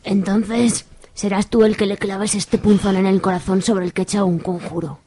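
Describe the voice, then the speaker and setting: Woman acting flippant witch, slightly husky. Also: mid-range voice, flat delivery, moderate pace, mellow, natural voice. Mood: flippant, soothing. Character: flippant scheming fortune-telling witch with grisly appetites. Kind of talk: amateur comic radio soap acting.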